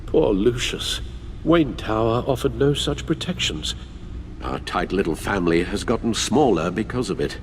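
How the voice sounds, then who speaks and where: A man's voice: fairly low pitch, expressive, normal speed, a little hiss or hum. A man playing an elderly, dutiful British butler in a video game, speaking formally and carefully with fatherly gravity. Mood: mournful. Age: older. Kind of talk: dramatic video game acting.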